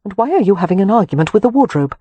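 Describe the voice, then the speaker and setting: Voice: mid-range voice, big pitch swings, rapid-fire, mellow, slight background noise, voice pushed up, close to an everyday voice. A narrator voicing a composed young woman who grows urgent. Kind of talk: audiobook character voice.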